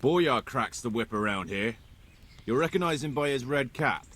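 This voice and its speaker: An actor playing a nervous peasant in a video game who refuses to get involved and points elsewhere. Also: male voice, medium pitch, expressive, moderate pace, fairly bright tone. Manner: jittery, stonewalling. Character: nervous evasive peasant man.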